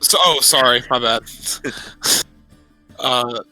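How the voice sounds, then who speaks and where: Male tabletop player, crisp and very forward bright voice, casual conversational delivery. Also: mid-range voice, slow pace, faint music behind, rough recording. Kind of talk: casual in-game discussion.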